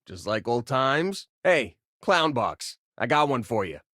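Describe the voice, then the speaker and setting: A man's voice: medium pitch, big pitch swings, a little slow, sharp tone, no echo, studio quality, full character voice. A male actor playing an easygoing, joking miner and spaceship crewmate in a video game, talking in a casual, folksy way. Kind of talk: video game companion voice lines.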